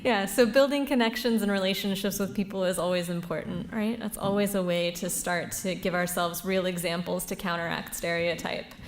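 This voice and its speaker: A woman's voice: lowish voice, steady tone, brisk, hardly any pauses, a little hiss or hum. Affirming woman moderator. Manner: affirming, paraphrases speakers' points back. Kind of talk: facilitating a panel discussion.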